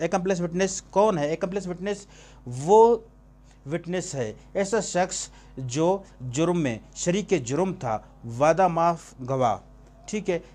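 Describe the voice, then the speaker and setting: Higher-voiced Pakistani man teaching by question and answer. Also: a little mellow. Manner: didactic, poses questions and answers them himself; switches between Urdu and English terms. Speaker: law teacher. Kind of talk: informal exam-prep lecture with rhetorical questions.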